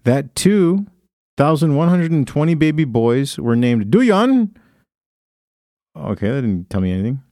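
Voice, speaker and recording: A man's voice: mid-range voice, big pitch swings, unhurried, mellow, dry recording, clean studio sound. A man hosting a podcast, reading from his phone in a casual, crude way with frequent laughter. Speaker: podcast host. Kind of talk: casual comedic chat.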